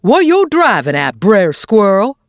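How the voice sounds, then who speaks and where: A woman's voice: medium pitch, big pitch swings, dry recording, studio quality. Woman doing a mournful old possum with a drawl. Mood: puzzled. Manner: slow dialect drawl, mournful.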